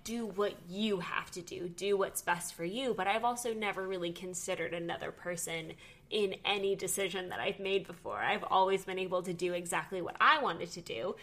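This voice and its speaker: A young woman hosting a podcast, chatting casually in a quick, reflective way. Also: mid-range voice, pushed and bright. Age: young adult. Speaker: podcast host. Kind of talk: casual chat.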